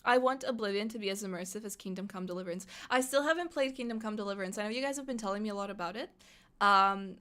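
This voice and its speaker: A young woman, a native Russian speaker, chatting excitedly on a live stream and reading out chat questions. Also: mid-range voice, fast talker. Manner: chatty, excitable, thinks aloud with fillers.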